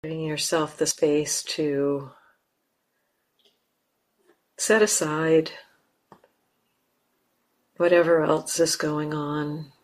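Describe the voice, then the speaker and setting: A woman teaching guided meditation, speaking gently and unhurriedly in short phrases with long pauses. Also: deep voice, steady tone. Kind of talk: guided meditation, unscripted instruction.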